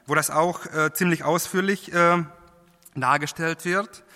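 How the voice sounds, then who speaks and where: A man preaching a sermon in a language that is not his first, halting, with many fillers and restarts. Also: a little high, bright, forceful tone. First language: not German. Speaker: lay preacher. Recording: long hall reverb.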